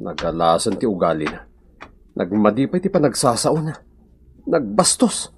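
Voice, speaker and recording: An actor playing an apologetic but easily offended neighbour and husband in a radio drama, with an Ilocano accent. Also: male voice, lowish voice, huge pitch swings, normal speed, vocal fry, noisy background, full character voice. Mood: offended, grumbling.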